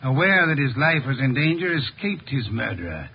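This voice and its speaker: A pompous genius detective in an old radio play. His voice is gravelly and husky, pitched a little high, and he delivers every phrase with formal precision. The recording is muffled vintage radio. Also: very animated, normal speed. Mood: explaining, assured. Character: eccentric, pompous genius detective, a middle-aged man. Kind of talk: 1950s radio detective drama acting.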